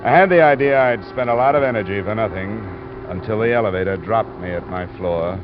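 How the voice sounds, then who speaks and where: Male, American, actor playing a weary, dogged private investigator; deep voice, clipped radio-drama delivery on a muffled old recording. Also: fairly quick, over music, close to an everyday voice. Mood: weary, matter-of-fact. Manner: hard-boiled, matter-of-fact. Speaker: lead actor and narrator of a detective radio drama. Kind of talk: 1950s American radio detective acting, clipped narration.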